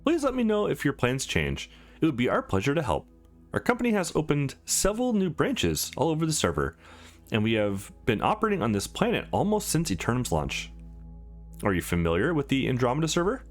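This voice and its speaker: Man playing a courteous, animated female receptionist. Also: medium pitch, a little fast. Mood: cheerful, courteous. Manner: polished, formal sales patter. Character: courteous, upbeat female company receptionist in space. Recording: music behind the voice.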